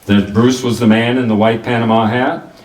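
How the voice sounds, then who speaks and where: An actor playing a cool, self-assured private detective in the manner of 1940s radio drama, narrating and sparring wryly. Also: male voice, mid-range voice, fairly even intonation, normal speed, a little mellow, lightly acted. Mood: explaining calmly. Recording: roomy echo, distant from the microphone.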